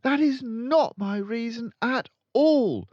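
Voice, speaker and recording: Man putting on a high-pitched, earnest lady teacher. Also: takes it slowly, a little mellow, no echo, muffled recording, heavily acted. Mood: pleading. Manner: pleading, polite but insistent. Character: earnest, anxious, resolute young woman schoolteacher. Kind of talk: children's audiobook character voice.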